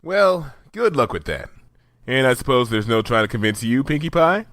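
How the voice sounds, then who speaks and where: A man's voice: medium pitch, big pitch swings, moderate pace, a bit bright, no echo, studio quality, pitched above the performer's own voice. A man voicing an earnest, bookish young unicorn mare who explains things in a precise, lecturing way. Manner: precise, lecturing, enthusiastic about science.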